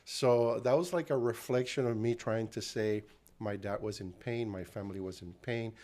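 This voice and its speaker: A man's voice: lowish voice, steady tone, dark tone. A middle-aged man from Cuba with a Cuban and Puerto Rican accent, talking in a casual interview and stopping often to restart with fillers. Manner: halting, with frequent fillers and restarts. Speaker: guest.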